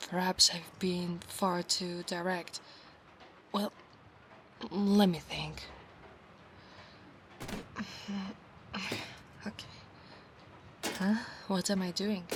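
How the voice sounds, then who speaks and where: A woman's voice: fairly low pitch, fairly even intonation, takes it slowly, long pauses, slight background noise. A woman with an Italian accent voicing a proud, bossy female centaur knight in an ASMR roleplay, with formal, old-fashioned, commanding phrasing. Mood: calmer, sincere. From Italy.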